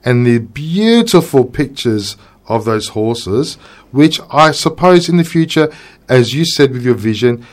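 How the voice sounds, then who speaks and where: A man's voice: medium pitch, lively intonation, unhurried. An Australian man hosting a radio interview in a studio, courtly and effusive. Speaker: radio host.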